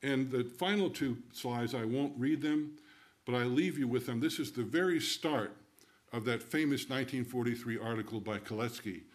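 An older man giving a conference talk in a lecture hall, speaking loosely with many fillers and restarts. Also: medium pitch. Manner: loose, halting delivery with fillers. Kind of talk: academic presentation.

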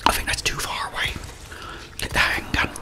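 A middle-aged British man whispering a hushed ASMR commentary as he plays a video game. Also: over music. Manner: hushed, slow running commentary.

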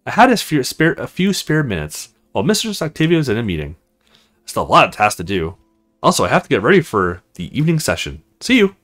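Casting: man reading as devoted young female servant in a visual novel game, meek, earnest, with very animated pitch. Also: fast talker. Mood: hesitant.

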